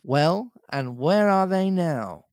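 A man's voice: high-pitched, animated, takes it slowly, a little mellow, no echo, studio quality, heavily acted. A man voicing a scheming, domineering pirate cook from a storybook, speechifying emphatically in seafaring dialect. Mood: scornful, wistful. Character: Long John Silver, scheming, domineering pirate sea cook.

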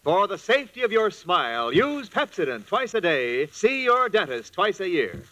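Man, American, vintage radio announcer, high pitch, very animated, punchy slogans, slightly noisy recording. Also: normal speed, muffled recording. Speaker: show announcer.

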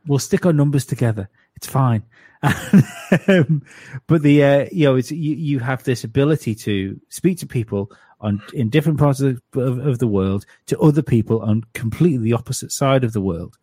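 A man's voice: medium pitch, moderate pace, dark tone, husky, no room sound. A man in his late thirties, a podcast guest chatting casually, stumbling over restarts and repeated words. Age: 30s. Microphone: home studio mic.

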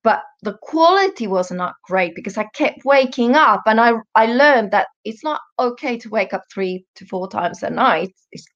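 A woman's voice: on the high side, animated, normal speed, few pauses, fairly bright tone. Dull-sounding recording, woman. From Europe, Finnish, born in Germany. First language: Finnish. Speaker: guest, health coach. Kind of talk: casual interview chat.

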